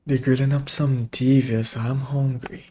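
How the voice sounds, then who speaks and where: A man's voice: mid-range voice, little pitch movement, unhurried, mellow, a little hiss or hum. A man reacting casually to a video game as a streamer, laid-back and offhand.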